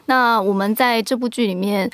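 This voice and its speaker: A woman's voice: on the high side, fairly even intonation, moderate pace, fairly bright tone, dry recording, studio quality. A woman with a Taiwanese accent speaking as a producer and guest, explaining things earnestly in casual interview talk. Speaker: audio drama producer and guest.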